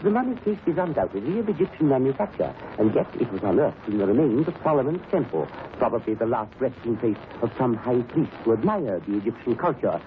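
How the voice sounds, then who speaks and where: An actor playing an anxious, fussy museum professor in a radio mystery, speaking formally with many hesitations. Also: male voice, medium pitch, sing-song, fast talker, few pauses, lightly acted. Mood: courteous, scholarly. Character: fussy, anxious male museum professor, superstitious despite himself. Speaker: museum curator. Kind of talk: 1930s radio mystery acting. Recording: muffled, noisy old radio recording.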